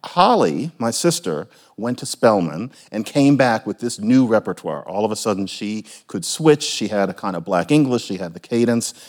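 A man's voice: on the low side, sing-song, normal speed, hardly any pauses, darkish tone. A man from Philadelphia in a casual conversation, talking in a thoughtful, reflective and self-deprecating way. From Philadelphia, USA.

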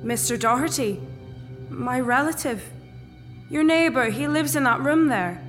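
A woman's voice: high-pitched, lively intonation, a little slow, over music. An actress playing a poor, middle-aged Irish woman who is earnestly searching for her son, recorded live on stage. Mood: trusting. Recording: live stage, hall reverb.

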